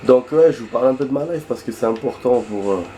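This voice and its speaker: A man commenting on a video game while hoarse from a cold, rambling chattily and swearing in surprise at the action. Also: mid-range voice, fast talker, dark tone. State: hoarse from a cold, losing his voice. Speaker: gaming video host. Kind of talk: casual let's-play commentary. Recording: background noise and game sounds.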